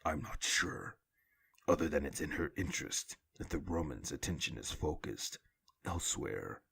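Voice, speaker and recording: A man voicing a brooding masked vigilante detective in a comic book audio drama, speaking in clipped, grim, deliberate phrases. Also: extremely low pitch, lively intonation, creaky, fairly bright tone, breathy edge, voice pushed down, heavily acted.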